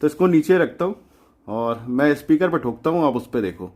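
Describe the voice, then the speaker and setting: A man with a slightly high voice hosts a hands-on DIY tutorial. He explains things in a chatty, colloquial way and drops English technical words into his sentences. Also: expressive, moderate pace, darkish tone. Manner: chatty, colloquial, mixes English terms into speech. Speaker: DIY electronics channel host. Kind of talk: informal tutorial demonstration.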